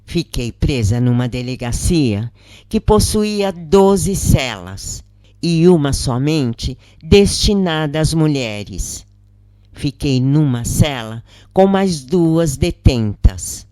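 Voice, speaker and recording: Slow-paced Brazilian woman narrator, dry sound. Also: low voice, no room sound. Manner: measured, expressive, acts out quoted lines. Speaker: narrator of a spiritist account. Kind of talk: dramatic first-person audiobook narration.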